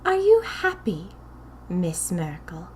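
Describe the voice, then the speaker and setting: A woman voicing a small, eerie cult leader in audio fiction, with a thin, wavering voice and slow, formal speech. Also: on the low side, huge pitch swings, darkish tone, slight background noise, full character voice. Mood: eerily inquiring. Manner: slow, drawn-out, formal questioning.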